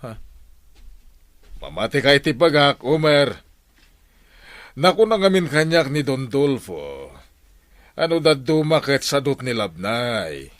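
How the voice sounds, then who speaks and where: A man's voice: medium pitch, big pitch swings, slow pace, pauses often, no room sound. A man playing a self-important, money-loving village captain in an Ilocano comedy radio drama, with an Ilocano accent. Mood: scolding.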